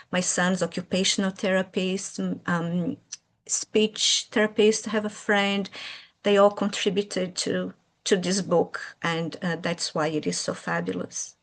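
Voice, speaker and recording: A woman from Brazil whose first language is Portuguese, speaking English with a Brazilian accent and answering interview questions in a hesitant way, pausing with fillers as she searches for words. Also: on the low side. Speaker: guest, children's author.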